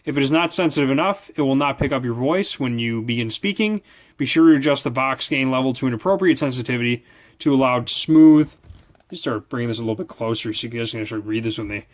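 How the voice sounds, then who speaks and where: A man with a mid-pitched voice reads instructions aloud as a product reviewer. His delivery is halting, with repeated words and small stumbles. He slips between recited manual text and casual, offhand commentary.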